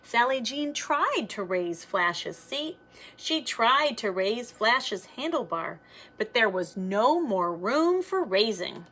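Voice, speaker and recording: A woman reading a children's storybook aloud like a teacher, in an expressive, sing-song way for young listeners. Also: fairly high pitch, slow pace, slight background noise.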